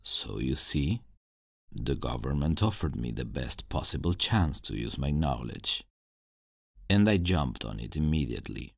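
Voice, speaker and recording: Guy doing a super deep gravelly villain scientist, brooding n regretful, slow dramatic asmr roleplay, real dry close sound. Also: sing-song, dry recording, full character voice. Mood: wistful. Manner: slow, deliberate, sighing, dramatic pauses.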